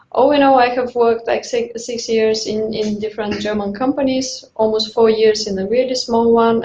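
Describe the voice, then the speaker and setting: A woman from Bulgaria, whose first language is Bulgarian, introduces herself to a webinar audience in English. She speaks in a friendly, mid-pitched voice, with small fillers and restarts. The audio is dull and lo-fi, with a roomy echo. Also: darkish tone. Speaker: webinar presenter.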